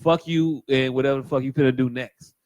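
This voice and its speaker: A man co-hosting a podcast in casual chat, animated and profane, riffing and joking. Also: mid-range voice, a little fast, dry recording, highs cut off. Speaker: podcast co-host.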